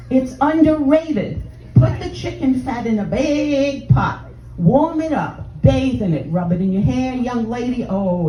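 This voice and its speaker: Older Texan woman, mellow voice, brash bawdy comic heckling the crowd, miked from afar in a dull live room. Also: a little hiss or hum. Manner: brash, bawdy, chatty sales pitch. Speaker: stand-up comedian in a sex-doctor persona. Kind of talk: stand-up routine, addressing audience members. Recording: roomy, distant, dull live room sound.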